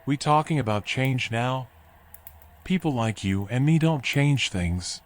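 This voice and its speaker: An actor playing a washed-up animal drifter in a noir video game, folksy, rambling and resigned. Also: male voice, mid-range voice, animated, unhurried, close to an everyday voice. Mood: wry, resigned. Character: washed-up male drifter animal, philosophical, wry, weary. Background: music.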